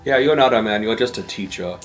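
A man's voice: fairly low pitch, fast talker, soft background music. A man chatting casually as a player in a tabletop roleplay game.

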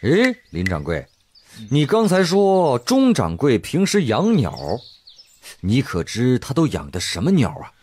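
A man's voice: mid-range voice, wildly swooping pitch, unhurried, darkish tone, breathy edge, heavily acted. A male voice actor playing a pompous, playful middle-aged magistrate detective in a comedic radio drama, lecturing in mock-solemn fashion. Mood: inquiring.

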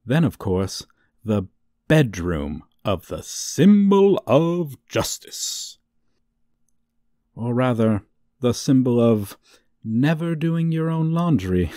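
A man playing a gloating, suave supervillain in a comedic ASMR roleplay, with a theatrical, teasing, drawn-out delivery. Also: mid-range voice, sing-song, warm tone, no echo, studio quality. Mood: mock-sweet, gloating. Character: gloating, suave, theatrical male supervillain toying with a young hero.

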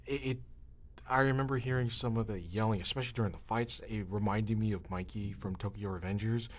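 A man hosting a podcast in casual chat, with a halting, meandering way of talking. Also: lowish voice.

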